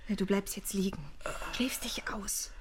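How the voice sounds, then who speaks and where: An actress playing a dikemaster's worried wife who speaks in a hushed, breathy, soothing way in a radio drama. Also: female voice, mid-range voice, steady tone, measured pace, in a whisper, close to an everyday voice. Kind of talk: German radio drama acting.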